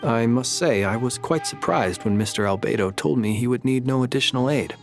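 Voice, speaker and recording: A man voicing a refined, courteous gentleman in an anime game, speaking politely and formally. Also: mid-range voice, a little fast, faint music behind, natural voice. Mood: polite, impressed.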